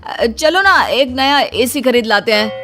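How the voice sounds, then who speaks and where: A woman's voice: fairly high pitch, animated, a little slow, sharp tone. A woman voicing an anxious, earnest young man in a story dialogue. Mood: flustered. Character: nervous, guilt-ridden young man of the family. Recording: music bed under the voice.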